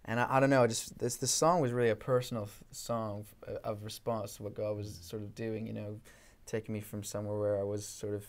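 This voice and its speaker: A young man from Canada with an accent mixing Canadian and English, chatting softly and rambling with many fillers in a small studio room. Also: lowish voice, flat delivery, fairly quick, hardly any pauses. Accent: Canadian mixed with English. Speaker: guest singer-songwriter. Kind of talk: casual chat, then singing with acoustic guitar.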